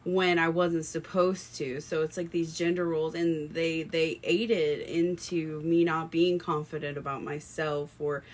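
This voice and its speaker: Low-voiced woman talking candidly. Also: flat delivery, unhurried, hardly any pauses. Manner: candid, personal. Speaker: podcast co-host. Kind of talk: casual discussion.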